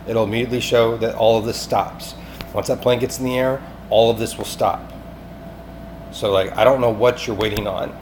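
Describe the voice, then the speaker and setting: A man's voice: medium pitch, darkish tone. A man leaving a rambling voicemail, pleading in an agitated, insistent, repetitive way with some swearing. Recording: clearly noisy amateur phone-call recording.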